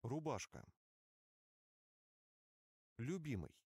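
A man reading single vocabulary words and short phrases aloud for a language course, with slow, careful enunciation. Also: mid-range voice, big pitch swings, a little mellow, breathy edge, dry recording, clean studio sound. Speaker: language course audio reader.

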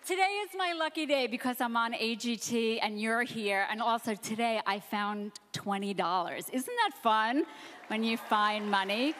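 A woman in her forties doing a stand-up set on a theater stage into a handheld mic, delivering deadpan self-deprecating one-liners. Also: on the high side, moderate pace, a little hiss or hum. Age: 40s. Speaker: stand-up comedian. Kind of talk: stand-up set and backstage interview.

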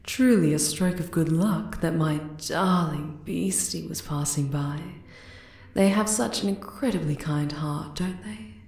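A woman voicing a regal, possessive yet tender spider queen in an ASMR roleplay, soft and deliberate in manner. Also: deep voice, vocal fry. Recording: roomy, echoing cave-like reverb.

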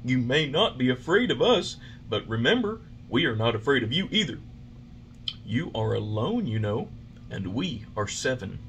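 Man acting a jeering schoolboy bully, animated. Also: medium pitch, normal speed, slight background noise, voice pushed up. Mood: threatening.

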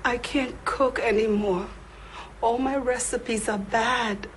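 An actress playing an older woman from the Dominican Republic, a cafe owner upset about her diagnosis, speaking slowly and emotionally. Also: a little high, steady tone, a little hiss or hum. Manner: slow, emotional, despairing. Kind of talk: scripted educational drama.

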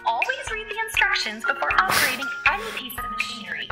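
Polite space station ai lady, high lilting voice, deadpan cheery, loud music behind. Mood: serene, deadpan. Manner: measured, formal, deadpan reading of grim advice. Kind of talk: radio drama acting, reading out a manual.

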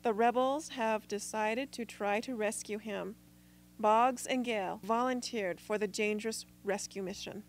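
A woman's voice: mid-range voice, steady tone, unhurried. A woman reading scripted story narration as the narrator of a radio play. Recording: faint hum and background noise.

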